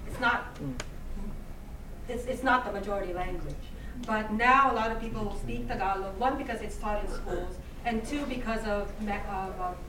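A woman from the Philippines with a Filipino accent, a guest lecturer answering audience questions in a halting, thoughtful way with many restarts. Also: medium pitch. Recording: echoey hall from far off, background noise.

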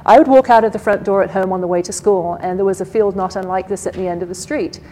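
A soft-spoken woman with an English accent giving an academic lecture at a podium, measured and full of fillers. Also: mid-range voice, fairly even intonation, slight background noise, low-quality recording. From England. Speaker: guest lecturer. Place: lecture hall.

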